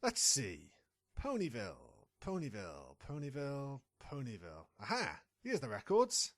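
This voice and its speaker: Scheming pony reporter, played by a woman: husky, hugely animated delivery, confident and impatient, for an audio drama. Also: rumbling low, moderate pace, full character voice. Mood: searching. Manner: confident, conniving, impatient with a partner. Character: sly, scheming pony reporter chasing a scoop. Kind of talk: fan audio drama acting.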